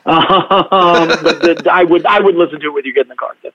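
A man's voice: on the high side, fairly quick, bright, forceful tone. A man chatting as a guest, in a loose, chatty way full of fillers. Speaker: podcaster and guest. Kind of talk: casual chat. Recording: tinny phone line.

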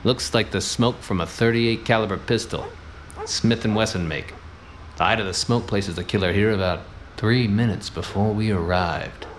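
An actor playing a cocky, bossy 1920s private detective in a noir radio comedy, with clipped wisecracking delivery. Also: male voice, fairly low pitch, moderate pace, a little hiss or hum, close to an everyday voice. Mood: brisk, commanding.

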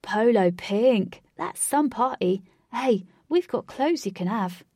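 A woman voicing a laid-back male party organiser who talks loudly and breezily and calls people bro. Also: on the high side, expressive, a little slow, no echo, studio quality, voice pushed up. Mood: placating.

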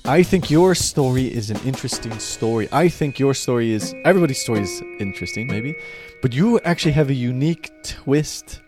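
A man hosting a podcast interview, speaking reflectively and prompting his guest with soft interjections. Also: mid-range voice, expressive, a little fast, faint music behind. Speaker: podcast host.

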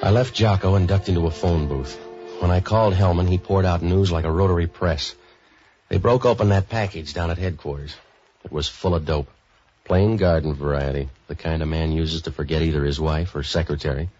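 A man playing a street-wise, cynical man for hire in a 1940s radio detective drama, with deadpan, clipped wisecracks. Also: sits low, brisk, faint music behind, lightly acted. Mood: deadpan narration. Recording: dull old radio recording.

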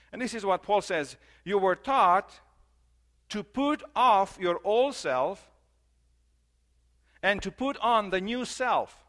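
Guy preaching a sermon, english not his first language, repeats stuff for emphasis, voice a bit high. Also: fairly bright tone. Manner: earnest, repeats key phrases, slows for emphasis. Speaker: pastor.

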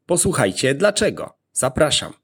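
A man presenting a scripted explainer in a deliberate, point-by-point manner. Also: medium pitch, huge pitch swings, a bit bright, breathy edge.

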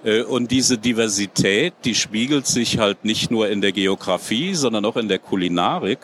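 A middle-aged man from Germany, a guest on a panel, speaking in a measured, thoughtful way with frequent hesitations. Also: lowish voice, fairly bright tone, slight background noise. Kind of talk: panel talk at a trade fair.

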